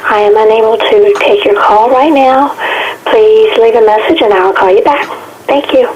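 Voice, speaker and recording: A woman's voice: a little high, moderate pace, a crowd in the background. A woman giving a scripted office voicemail greeting, heard over a telephone. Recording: dull, noisy phone voicemail recording.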